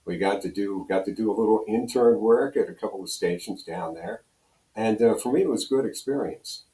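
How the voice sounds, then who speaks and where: Low-voiced older American man, distant and echoey. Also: fairly quick, dark tone. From Pennsylvania, USA. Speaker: radio program director and guest. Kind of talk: casual anecdotal storytelling. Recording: roomy echo, distant from microphone.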